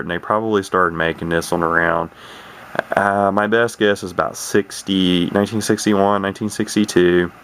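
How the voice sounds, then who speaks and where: Male hobbyist presenter, low and creaky, informal and halting, rambling unscripted delivery. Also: fairly bright tone. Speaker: radio hobbyist presenting a set. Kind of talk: casual unscripted demonstration talk.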